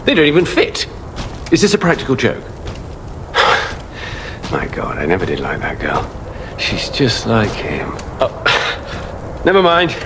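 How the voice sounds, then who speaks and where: A man's voice: medium pitch, huge pitch swings, measured pace, pauses often, background noise. A man playing a haughty, scheming treasure hunter in a video game, with a theatrical, smug and disdainful delivery. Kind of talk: video game cutscene acting.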